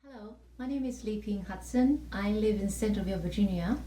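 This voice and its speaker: A woman's voice: medium pitch, mellow. Earnest woman with a heavy non-native accent. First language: not English. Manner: earnest, halting, with restarts. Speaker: music theory teacher. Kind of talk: personal testimonial to camera. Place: small room indoors with a piano. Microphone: camera in front, medium framing. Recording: echoey room with faint hiss.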